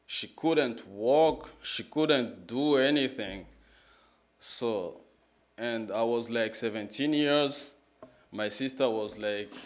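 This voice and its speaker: A man in his twenties with a Congolese accent giving a personal testimony as a public talk, halting and repeating his phrases in plain words. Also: medium pitch. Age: 20s. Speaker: guest speaker at a church, sharing testimony.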